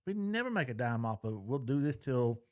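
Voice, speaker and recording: A man with a medium-pitched voice that moves up and down in a lively way. He banters casually as a podcast co-host, in folksy, colloquial phrasing. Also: a little fast.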